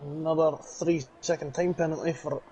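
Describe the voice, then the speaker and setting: Man, chatty race co-commentator, casual, excitable, faint background noise. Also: a little high, normal speed, poor audio. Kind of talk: sim racing color commentary.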